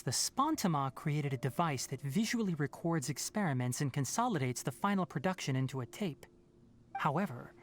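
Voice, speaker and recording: A man voicing a calm, knowledgeable young forest ranger in a video game, articulate and measured as he explains. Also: on the high side, natural voice.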